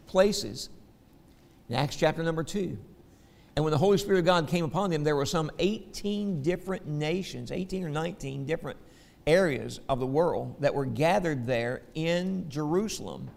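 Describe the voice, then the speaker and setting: A man with a Southern American accent preaches a folksy sermon to his congregation. His voice sits slightly high and carries a gravelly edge. The sound rings with the long reverb of a large church hall. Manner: folksy, asks the congregation "okay?" often.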